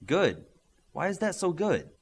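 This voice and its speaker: Casting: male reader as boyish young superhero, excitable and curious, lively range, slightly husky. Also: high-pitched, measured pace, pitched above the performer's own voice, exaggerated voice. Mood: confident, helpful.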